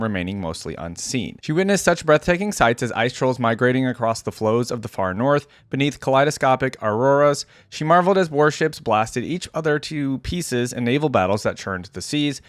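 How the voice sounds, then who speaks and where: A man's voice: mid-range voice, no room sound, clean studio sound. A man hosting a reaction video, chatting casually, thinking aloud and reading text out with asides of his own.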